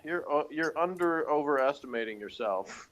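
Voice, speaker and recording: A man's voice: fairly high pitch, lively intonation, normal speed, a bit bright, a little hiss or hum. A man co-hosting in casual, joking banter with a teasing manner. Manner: jokey, teasing. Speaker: podcast co-host.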